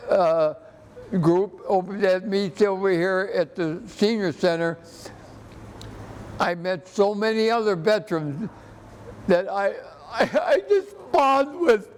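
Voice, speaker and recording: Old american guy in his 80s, high voice, slow and halting, earnest, big echoey room. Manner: earnest, halting, repeats himself. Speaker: interview guest, army veteran. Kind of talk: personal reminiscence in an interview. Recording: echoey hall with background noise.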